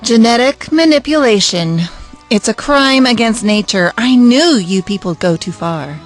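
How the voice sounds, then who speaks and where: A woman's voice: on the high side, lively intonation, a little slow, faint music behind, rough recording. A woman playing a bitter, brusque, uncaring mother with a curt, dismissive manner in an audio drama. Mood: indignant.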